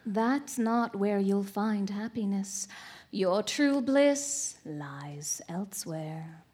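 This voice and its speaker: An actress playing a mysterious captive woman in an audio drama, breathy and honeyed, pleading and coaxing. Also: medium pitch, a little mellow. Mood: coaxing, insistent. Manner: slow, beseeching, honeyed. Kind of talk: melodramatic audio drama acting.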